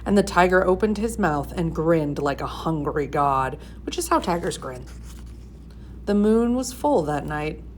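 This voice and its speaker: A woman reading a storybook aloud in expressive narration. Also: sits low, unhurried, slight background noise. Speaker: storybook reader.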